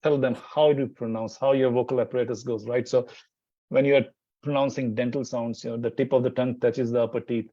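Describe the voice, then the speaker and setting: Guy chatting in an interview, lots of restarts and "you know", dark mellow voice, kinda muffled. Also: mid-range voice, steady tone, a little fast, no echo. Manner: rambling with many restarts and fillers. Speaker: Hindi teacher, interview guest. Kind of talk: casual interview chat.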